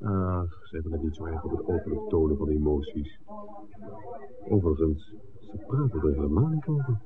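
A man's voice: very deep voice, lively intonation, normal speed, crowd noise. An actor playing an observant, discreet film-maker in a 1960s radio play, conversational and alert as he speculates. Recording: tinny, hissy 1960s radio recording.

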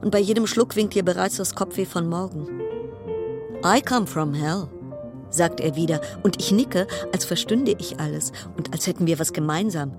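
A woman's voice: fairly low pitch, moderate pace, over music, natural voice. An actress in a radio drama playing a wry, anxious cruise passenger stranded at sea, telling her story in literary, broken-off sentences. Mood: confiding, uneasy. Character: weary woman passenger stranded on plague-stricken cruise ship, wry, anxious.

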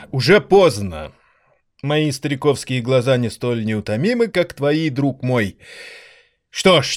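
A man playing an elderly piano maestro in an audio drama, grandiloquent and indulgent, with theatrical, florid delivery. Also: on the high side. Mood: tired, satisfied. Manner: theatrical, florid, condescendingly affectionate.

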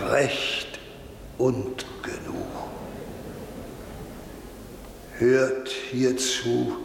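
A solemn old priest preaches sternly and extremely slowly, with weighty pauses. It is an old radio drama recording, noisy, with a long hall reverb around the voice. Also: male voice, mid-range voice, creaky. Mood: solemn admonishing. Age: older. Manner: measured, declamatory, rhetorical pauses. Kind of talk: 1950s German radio drama sermon. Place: large reverberant space.

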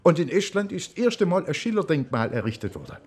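An eager police inspector in a radio play. His pitch moves freely as he insists and repeats himself, tender underneath. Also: sing-song, fast talker, darkish tone, slightly rough. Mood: eager, persuasive. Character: enthusiastic, tender male police inspector and choir member. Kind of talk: naturalistic radio drama acting.

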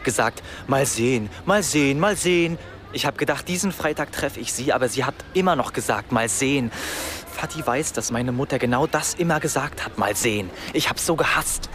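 An actor playing a remorseful, self-pitying young man, halting and defensive. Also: medium pitch, expressive, a bit bright, a little hiss or hum, lightly acted. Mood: brooding.

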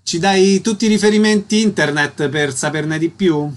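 A man's voice: high voice, normal speed. A man presenting and asking brief questions as a radio interviewer. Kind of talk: radio presenting, scripted introductions.

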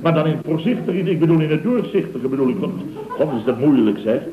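An actor playing a flustered, blustering middle-aged contractor boss who stammers, repeats himself and grumbles in a comic radio drama. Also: male voice, a little high, expressive, quick pace, natural voice. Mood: flustered, excited. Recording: dull, roomy old radio recording with hiss.

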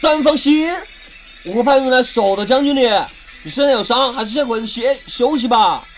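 A woman's voice: on the high side, expressive, a little fast, soft background music, close to an everyday voice. A woman acting a dutiful, caring young military subordinate in a Chinese audio drama. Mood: respectful, urging.

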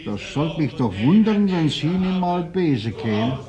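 A man's voice: a little high, big pitch swings, unhurried. An actor playing a skeptical, grumbling working man in a radio play. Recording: crowd noise behind.

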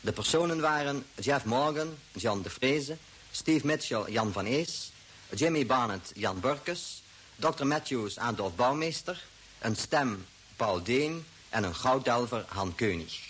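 A man's voice: medium pitch, animated, measured pace, fairly bright tone. A man formally reading a scripted announcement as the announcer of a vintage radio drama. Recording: faintly hissy vintage radio recording.

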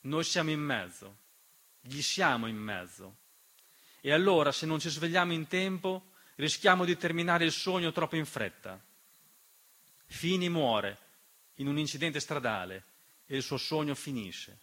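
A man's voice: on the high side, expressive, a little slow, bright, forceful tone. A man delivering an emphatic, dramatic theatrical monologue as narrator, with rhetorical questions and refrains. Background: piano accompaniment.

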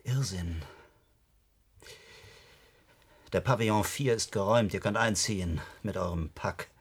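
A man's voice: fairly low pitch, normal speed, slightly rough. An actor playing a bitter, outraged male official in a German radio drama, confronting an enemy with accusations. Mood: bitter, stunned.

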